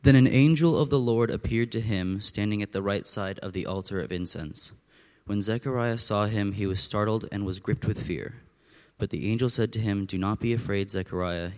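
A man's voice: on the low side, a little mellow. A man reading scripture aloud as a reader at church.

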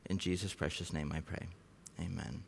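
A man's voice: sits low, steady tone, moderate pace, creaky, slightly rough. A middle-aged man, a missionary, preaching in an informal, chatty, conversational way with prayer and storytelling. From Norway and Southeast Asia. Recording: long hall reverb.

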